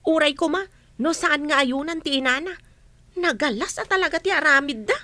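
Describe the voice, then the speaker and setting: High, bright, protective nanny played by a woman. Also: a little fast, dry recording. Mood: concerned indignation. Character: protective, loyal household nanny, respectful but firm. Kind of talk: Ilocano radio drama acting.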